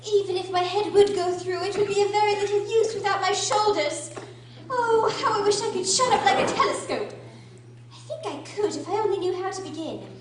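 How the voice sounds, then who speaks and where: A woman's voice: very high-pitched, fairly quick. A woman playing a curious, fretful little girl who thinks aloud theatrically in a radio drama. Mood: puzzled, wishful. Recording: roomy, distant, faint noise and hum.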